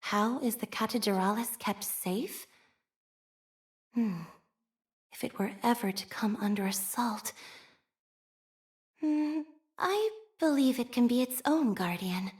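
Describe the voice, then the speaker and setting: An actress voicing a soft-spoken, devout young woman scholar of a religious order in a video game, speaking formally and solemnly. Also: on the high side. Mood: solemn, hushed. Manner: formal, solemn, measured, reverent. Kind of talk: scripted video game character voice lines.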